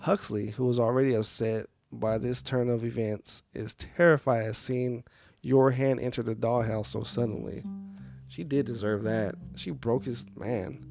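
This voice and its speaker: A man's voice: mid-range voice, steady tone, a little slow, creaky, a little mellow, soft background music. A man reading a game's story aloud on a live stream, with casual, chatty asides to viewers. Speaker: game streamer.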